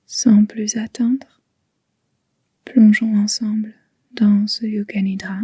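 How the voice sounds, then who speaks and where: Slow, breathy near-whispering woman guiding relaxation. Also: mid-range voice, little pitch movement, dry recording. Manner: slow, gentle, soothing, unhurried with long pauses. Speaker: yoga nidra guide. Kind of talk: guided relaxation meditation.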